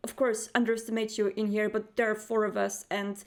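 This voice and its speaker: A woman's voice: medium pitch, little pitch movement, fairly quick. A woman improvising dialogue as a tabletop roleplay player, phrasing things politely and carefully, with a lot of hesitation.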